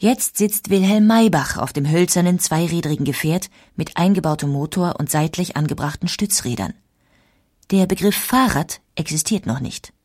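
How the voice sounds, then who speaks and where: A woman reading scripted documentary narration in a measured, explanatory way. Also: on the low side, animated, a little mellow. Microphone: studio microphone.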